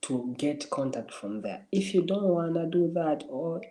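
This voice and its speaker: Man, livestream host, mellow warm tone, encouraging, repeats himself a lot. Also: fairly high pitch, animated, unhurried. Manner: encouraging, repeats and restarts phrases. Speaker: livestream host answering viewers. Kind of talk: casual livestream advice.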